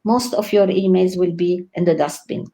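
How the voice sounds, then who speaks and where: A woman born in Lebanon, speaking as a guest scholar in an online interview, enthusiastic, often pausing with "uh" and laughing. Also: on the low side, fairly even intonation. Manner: warm, enthusiastic, frequent laughs and hesitations.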